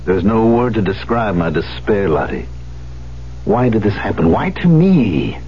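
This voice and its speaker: A man's voice: fairly low pitch, big pitch swings, a little slow. An actor playing a despairing, self-pitying concert pianist who has lost his hand, in a theatrical 1970s radio drama style. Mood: bitter despair. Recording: dull hissy 1970s radio recording.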